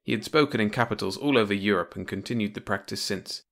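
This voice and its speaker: A man's voice: fairly low pitch, quick pace, vocal fry, a bit bright. A man reading a comic pulp science-fiction short story as scripted audiobook narration.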